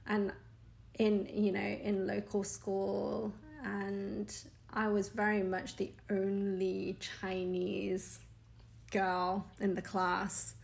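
A woman, talking slowly and thoughtfully in an interview. Her voice has a gravelly, creaky edge, and she often pauses, hesitates and laughs softly. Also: mid-range voice, completely flat delivery. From United Kingdom. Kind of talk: conversational interview. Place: Hong Kong.

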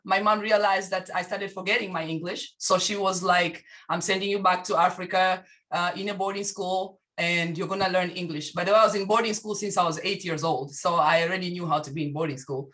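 A woman from Tanzania, a guest on a video call, telling her life story in an animated way and laughing often. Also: lowish voice. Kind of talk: casual conversation.

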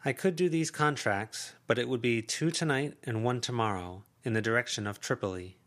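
A man voicing a practical mother who speaks in a measured, matter-of-fact way. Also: medium pitch, fairly bright tone, voice pushed up, close to an everyday voice.

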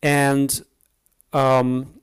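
A man from the Netherlands with a Dutch accent, speaking English as a second language, hosting a solo podcast introduction unscripted, with many pauses, fillers and restarts. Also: mid-range voice, completely flat delivery, clean tone. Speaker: podcast host.

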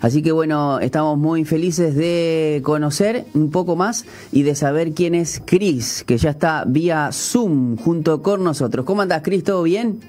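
A man's voice: a little high, measured pace, hardly any pauses, darkish tone. A Uruguayan man hosting a radio interview, chatty and hesitant, with many fillers and restarts. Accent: Uruguayan Spanish. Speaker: radio host. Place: radio studio.

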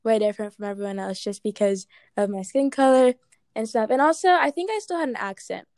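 A woman's voice: on the high side, expressive, brisk, darkish tone, no room sound, clean studio sound. Chatty woman with an American accent. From Ghana. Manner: chatty, many "like" and "um" fillers. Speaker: interviewee. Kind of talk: casual interview answers.

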